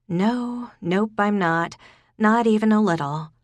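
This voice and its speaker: Perky young woman, emphatic and quick to agree, read by a female narrator, close and dry. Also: mid-range voice, animated, no room sound, pitched above the performer's own voice, lightly acted. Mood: eager. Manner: eager, emphatic. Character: young woman, bright and eager.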